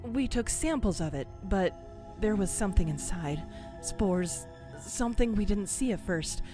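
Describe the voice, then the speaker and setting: An anxious scientist on a stranded spaceship, played by a woman in a sci-fi audio drama. Her voice sits at an average pitch for a woman. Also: expressive, a little slow, dark tone, soft background music, natural voice. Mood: somber. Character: anxious human female research scientist aboard stranded ship.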